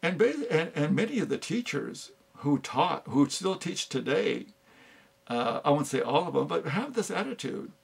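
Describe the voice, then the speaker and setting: An older man giving an unscripted talk on philosophy, halting often with fillers and restarts. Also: fairly high pitch, big pitch swings, vocal fry, husky. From United States. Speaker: speaker on philosophy.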